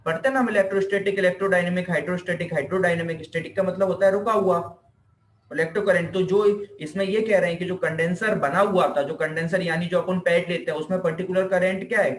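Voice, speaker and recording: A man's voice: high voice, fairly even intonation, quick pace. A man with an Indian accent giving an informal explanatory lecture as a teacher, repeating points and answering his own questions. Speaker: physiotherapy teacher. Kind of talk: informal explanatory classroom lecture, Hindi mixed with English terms. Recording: echoey room.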